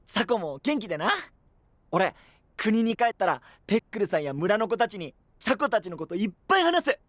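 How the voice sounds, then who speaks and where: An actor playing an eager, boyish young knight in an anime voice drama, cheerful and casual in manner. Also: male voice, high voice, a little fast, no echo. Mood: wistful. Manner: excitable, casual, rustic, boyish.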